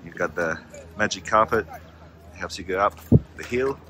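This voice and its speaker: Man, 40s, low voice, chatty and frank, phone selfie vlog outdoors, faint background ambience. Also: moderate pace, sharp tone, a little hiss or hum. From half French, half Australian. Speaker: ski travel agency founder, vlogger. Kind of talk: casual vlog walkthrough. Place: outdoors at a snowy ski resort. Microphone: handheld phone at arm's length, selfie.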